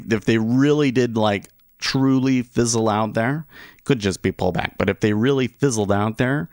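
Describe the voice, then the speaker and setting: A man in his thirties talks loosely to his livestream viewers, circling back and restarting his sentences as he goes. His voice sits fairly low, and the sound is dry and close, with no room echo. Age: 30s. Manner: rambling, with many restarts and asides. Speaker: livestream host, day trader. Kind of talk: casual livestream commentary.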